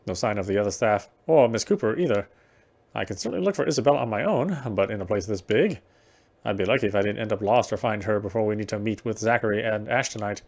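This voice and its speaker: A man's voice: on the low side, animated, fast talker, a little mellow. A man playing a game and reading its first-person story narration aloud in a careful way, now and then stumbling and correcting himself. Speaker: game player reading a visual novel aloud.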